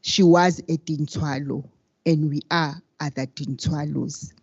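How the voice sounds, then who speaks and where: Older South African woman, very deep voice, slow rousing delivery, dry dull mic sound. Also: fairly even intonation, slightly rough, no room sound. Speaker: activist panelist. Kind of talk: panel self-introduction. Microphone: handheld microphone.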